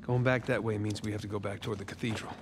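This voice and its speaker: A man's voice: lowish voice, little pitch movement, racing, close to an everyday voice. Wry American treasure hunter over noisy ambience acted by a man. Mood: thoughtful. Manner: wry, understated. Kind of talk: naturalistic video game acting. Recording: game ambience noise behind.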